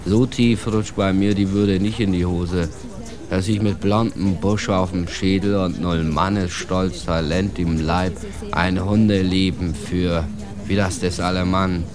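An actor playing a proud immigrant man ranting with bitter contempt, in a declamatory slang tirade for a radio drama. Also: deep voice.

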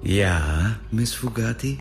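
An actor playing a blunt, condescending trend expert of about forty in a radio drama. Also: male voice, fairly low pitch, lively intonation, slow pace, soft background music. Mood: cold, curt. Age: 40s. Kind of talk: German radio drama acting.

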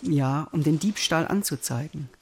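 An actor playing a wounded, reflective mathematician in a German radio drama, speaking with deliberate phrasing and candid irony. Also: male voice, fairly high pitch, slight background noise, natural voice. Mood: defensive, candid. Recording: music under the voice.

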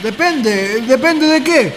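A man's voice: extremely high pitch, expressive, slow pace, fairly bright tone, exaggerated voice. A Chilean man acting a brooding, scornful young intellectual in a radio drama. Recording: noisy, long reverberant tail.

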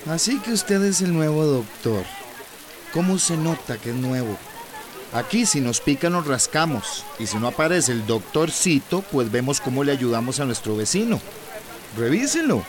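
A man's voice: a little high, sing-song, moderate pace, crowd noise. An actor playing a feisty, protective village man with a Central American accent in a radio drama, blunt and plain-spoken. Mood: defiant.

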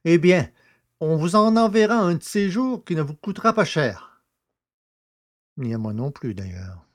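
An actor playing a good-natured, cheeky country poacher in an audio comedy, artless and deferential yet sly. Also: male voice, a little high, big pitch swings, unhurried. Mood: sly, cheerful. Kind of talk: French radio comedy acting.

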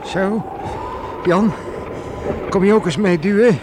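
Cheerful Jeroen. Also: male voice, high voice, takes it slowly, background noise. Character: Jeroen, good-natured young male helper. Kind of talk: radio play acting.

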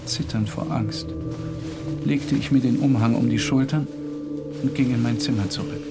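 A man playing a tormented, half-mad painter in a dramatic literary narration, speaking with slow, halting intensity. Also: medium pitch, steady tone, over loud music, close to an everyday voice. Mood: shaken, dread. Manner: slow, halting, intense, dropping at times to whispers.

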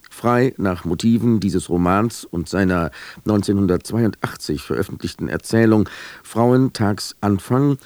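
A man with a low, dark and relaxed voice introduces a radio programme. He reads a script in a measured, formal way, recorded very dry in a studio. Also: no echo. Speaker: radio announcer.

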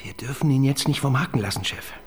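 A man's voice: medium pitch, fast, slightly rough. An actor playing a hesitant, awkward male police assistant in a radio crime drama, deferring nervously to his boss. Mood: anxious.

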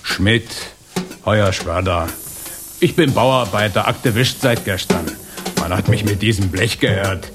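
An actor playing a boastful, stubborn East German construction worker, blunt and earthy in a satirical radio play. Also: male voice, fairly low pitch, a little slow, noisy background, close to an everyday voice.

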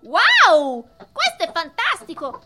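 A woman playing a mischievous, mock-courteous little boy in a theatrical radio play, excitable and given to repeating phrases. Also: extremely high pitch, takes it slowly, over loud music, full character voice. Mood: manic glee. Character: mischievous, cheerfully wicked little rascal boy of marble.

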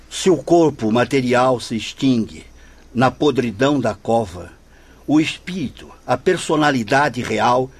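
A spirit director in radio drama, bit husky, deliberate and exhorting, medium pitch. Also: male voice. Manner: solemn, preaching, deliberate.

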